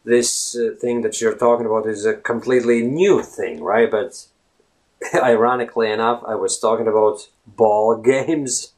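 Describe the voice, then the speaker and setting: A man's voice: lowish voice, fairly even intonation, a little slow, darkish tone. A man in his thirties from Latvia, a native Latvian speaker with a Latvian accent, talking casually to the camera and rambling, often asking "right?". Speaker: language blogger.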